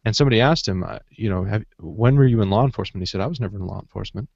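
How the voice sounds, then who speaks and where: Male podcast moderator, low-pitched, conversational, close dry sound with muted top end. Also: racing, gravelly, a little mellow, no echo. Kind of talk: casual chat, moderating a discussion. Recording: dull, dry lo-fi podcast audio.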